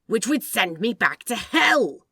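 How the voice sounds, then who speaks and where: A woman's voice: a little high, big pitch swings, a little slow, pushed and bright, breathy edge, no room sound, pitched above the performer's own voice, exaggerated voice. A woman voicing a wary, sardonic male demon in snake form for an audiobook story, with a dry, cautious delivery. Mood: offended, heated.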